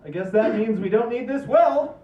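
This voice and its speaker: An actor playing an excitable, theatrical family man in a live comedy script read. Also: extremely high pitch, moderate pace. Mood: matter-of-fact. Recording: distant, roomy, muffled amateur capture.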